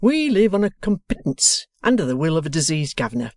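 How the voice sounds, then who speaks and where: Brief: a hearty, excitable old working man with a high, loud, very lively voice and broad, word-mangling speech, put on by a reader. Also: a little fast, no room sound, studio quality. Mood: eager. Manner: broad, exclaiming, mangles words. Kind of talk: audiobook character reading.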